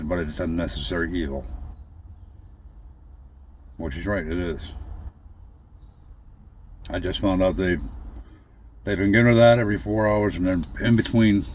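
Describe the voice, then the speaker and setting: A man talks candidly, as if giving a personal update. His delivery is plain-spoken and halting, with some mild swearing. The recording is dull, with faint noise behind him. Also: deep voice, darkish tone. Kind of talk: casual, candid personal monologue.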